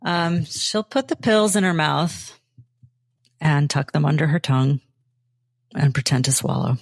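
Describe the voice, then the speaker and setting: A woman's voice: low-pitched, expressive, a little slow. A woman playing a tabletop roleplay game, narrating her character's actions. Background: background music.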